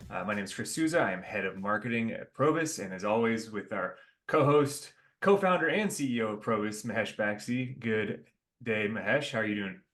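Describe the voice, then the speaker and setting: A man's voice: on the low side, lively intonation, a little fast, fairly bright tone, soft background music. A man hosting a business podcast, giving upbeat introductions that sound partly scripted and asking guests questions. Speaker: podcast host.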